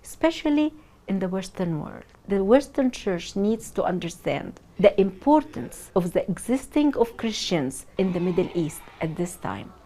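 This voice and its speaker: Earnest Egyptian-born woman, mellow voice. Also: mid-range voice, very animated, normal speed. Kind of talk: interview.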